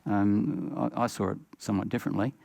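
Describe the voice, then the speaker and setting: Older aussie bloke on a panel, gravelly low voice, lots of uhs, dry sound. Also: male voice, steady tone, a little mellow, no echo. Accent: Australian. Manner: halting, many uh fillers. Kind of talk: panel discussion.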